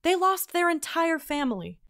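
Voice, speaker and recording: A woman playing an earnest, quick-witted traveler on a mission in a fantasy audio drama. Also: extremely high pitch, sing-song, fairly bright tone, heavily acted. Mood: rousing. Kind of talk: fantasy audio drama acting with log narration.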